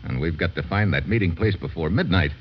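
An actor playing a tough, brusque American investigator in a classic radio drama, with blunt, slangy talk. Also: male voice, deep voice, fairly quick, breathy edge. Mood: businesslike. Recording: dull vintage radio recording.

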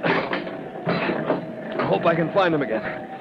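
A man's voice: a little high, animated, slow pace, vocal fry, full character voice. An actor playing an earnest, determined young rookie fireman in an old radio drama, exclaiming with effort. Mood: effortful, reassuring. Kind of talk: old-time radio acting. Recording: muffled, noisy vintage radio recording.

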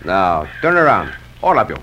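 An actor playing a gallant, confident Mexican cowboy hero with a Mexican accent and formal, courteous diction in an old-time radio western. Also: male voice, low-pitched, huge pitch swings, normal speed, pushed and bright, muffled recording, full character voice. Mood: commanding. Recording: noisy old radio drama recording.

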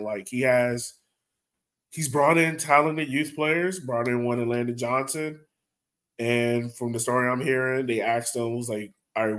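A man's voice: mid-range voice, a bit bright. Blunt man hosting a casual sports podcast. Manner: blunt, opinionated, conversational. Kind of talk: casual soccer fan podcast discussion.

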